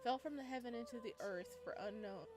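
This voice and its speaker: A woman's voice: on the high side, fairly quick. A reactive, giggly woman streaming a visual novel, commenting and reading its text aloud, with music behind. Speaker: game streamer.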